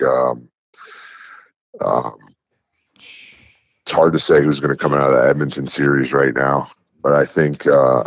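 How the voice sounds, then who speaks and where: A man with a very deep voice joining a sports podcast online as a guest, relaxed and laid-back. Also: dry recording. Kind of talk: casual sports chat. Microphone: online call.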